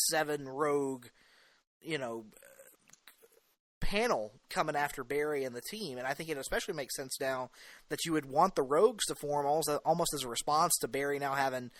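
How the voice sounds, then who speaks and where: A man's voice: fairly high pitch, fairly bright tone, no echo. A man co-hosting a podcast, giving his opinions in casual fan discussion. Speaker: podcast co-host.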